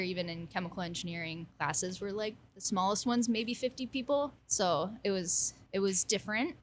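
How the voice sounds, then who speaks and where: A woman's voice: medium pitch, a little hiss or hum. A woman in her thirties, a brewer and engineer, answering interview questions in a casual, self-deprecating way with frequent laughs. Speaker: kombucha brewer and engineering alumna.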